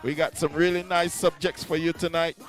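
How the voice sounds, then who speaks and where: High-pitched male radio hype host over music. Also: little pitch movement, a little fast. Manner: repeats phrases, addresses the audience as a hype man. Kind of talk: hype show intro patter. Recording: music playing behind the voice.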